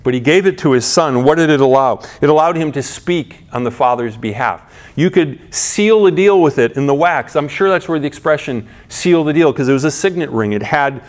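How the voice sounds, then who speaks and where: A man's voice: fairly high pitch, animated, normal speed, few pauses. Middle-aged man preaching conversationally. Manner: conversational preaching with frequent restarts and rhetorical questions. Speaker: pastor. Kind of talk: sermon.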